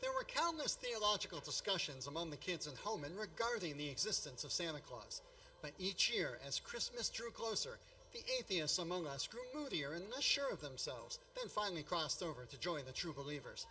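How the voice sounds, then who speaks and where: A man's voice: fairly high pitch, sing-song, fairly quick, sharp tone, full character voice, low-quality recording. A man playing a grown-up narrator in a radio play, wryly and grandly recalling his boyhood in mock-epic style.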